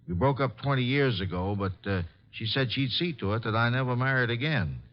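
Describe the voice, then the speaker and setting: A man's voice: mid-range voice, lively intonation, brisk, natural voice. An actor playing an uneasy, defensive man with a past to hide, indignant and hesitant, in an old radio drama. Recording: dull old radio recording.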